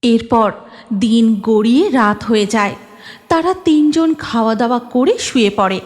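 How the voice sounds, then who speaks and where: A woman's voice: on the high side, unhurried. A woman giving scripted narration of a cartoon moral story, in a reverberant recording.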